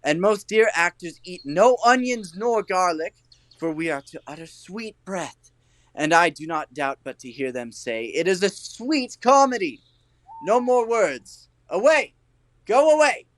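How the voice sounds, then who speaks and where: Exuberant, self-important amateur thespian, played by a man, swooping high. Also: lively intonation, unhurried, a bit bright. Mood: excited, calling out. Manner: theatrical, bustling, declamatory. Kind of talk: Shakespearean audio play acting.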